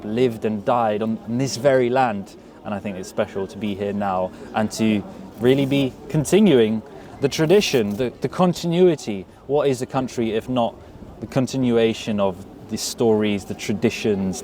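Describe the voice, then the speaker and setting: A man's voice: medium pitch, mellow, slight background noise. A man with an English accent being interviewed outdoors, talking in a reflective, earnest way and repeating words as he thinks. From grew up in England. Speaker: interview guest. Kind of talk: casual interview. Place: outdoors at a street event.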